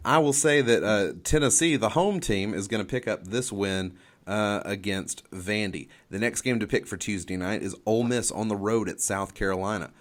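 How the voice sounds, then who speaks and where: A man co-hosting a sports podcast, giving opinions and game picks in casual talk, halting and repeating phrases as he reasons. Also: on the low side.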